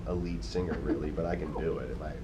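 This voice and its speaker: A man's voice: very deep voice, steady tone, very fast, background noise. A man chatting casually in an interview, easygoing and rambling, with lots of "you know" fillers. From United States. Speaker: guitarist being interviewed.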